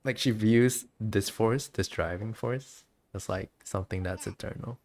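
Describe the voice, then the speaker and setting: A woman's voice: extremely low pitch, unhurried, a little mellow. A woman doing casual, chatty, giggly gameplay commentary as a game streamer. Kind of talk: casual gameplay commentary, reading dialogue aloud.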